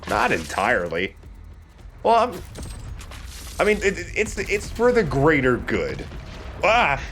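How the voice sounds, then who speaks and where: A man's voice: on the high side, wildly swooping pitch, slow, a bit bright, soft background music. A man giving casual gameplay commentary as a let's play host.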